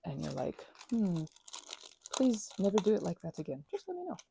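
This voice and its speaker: A woman chats softly in a playful put-on Scottish accent, sliding between a low murmur and breathy whispers. Her voice is mellow and dark, with a gravelly edge of vocal fry. Her tone swings up and down as she rambles, teasing herself along the way. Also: huge pitch swings, normal speed, slight background noise. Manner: drifts between soft talk and whispers, chatty and self-deprecating. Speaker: ASMR crafter. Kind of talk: rambling soft-spoken ASMR craft chat.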